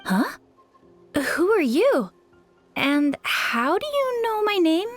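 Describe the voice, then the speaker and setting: A woman playing an earnest, self-doubting young girl warrior in training in an anime-style video game, youthful and expressive. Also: extremely high pitch, slow pace, heavily acted. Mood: curious, unsure. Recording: music playing behind the voice.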